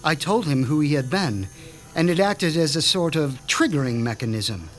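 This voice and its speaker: A sinister mad doctor from a horror radio play, measured and pompous, savoring every cruel detail. His voice sits a little high for a man, with faint music under it. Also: lively intonation, a bit bright. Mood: menacing. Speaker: Dr. Stein. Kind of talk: radio drama acting.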